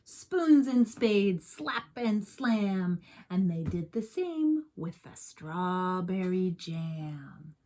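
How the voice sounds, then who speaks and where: A woman's voice: fairly low pitch, sing-song, extremely slow, dark tone. A woman reading a picture book aloud like a teacher, expressively, with chatty asides and questions to young listeners.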